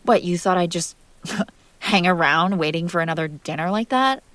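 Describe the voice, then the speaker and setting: A woman playing a jealous, hurt young woman confronting her crush in an intimate audio roleplay, halting, sarcastic and emotionally raw. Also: fairly low pitch, expressive, fairly bright tone, dry recording. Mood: defiant, cool.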